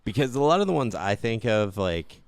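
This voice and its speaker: A man with a deep, smooth voice talks as a podcast co-host. He is informal and agreeable, chatting casually. The recording is dry, with hardly any room sound. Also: big pitch swings, fairly quick, no echo. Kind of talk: casual gaming podcast chat.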